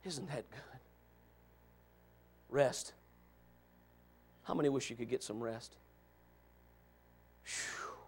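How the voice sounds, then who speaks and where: A man preaching a revival sermon, loud and shouting, with joking asides to the congregation. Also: medium pitch, steady tone, slow, pauses often, breathy edge. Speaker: pastor.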